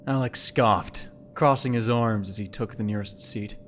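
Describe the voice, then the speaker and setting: A man's voice: lowish voice, moderate pace. A man giving scripted, dramatic story narration for an audio drama. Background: background music.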